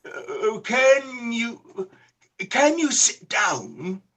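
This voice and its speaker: A woman playing a frightened, skeptical old male miser in a theatrical radio play, with a husky voice and halting, agitated delivery. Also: fairly high pitch, sing-song, a bit bright, heavily acted. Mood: wary.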